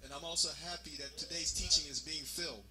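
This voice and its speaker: Church interpreter guy, bright tone, repeats each line in english, soft music in the back. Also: on the high side, fairly even intonation, a little fast. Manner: echoes each phrase plainly, with some hesitations. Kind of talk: consecutive English interpretation of a sermon. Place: church hall.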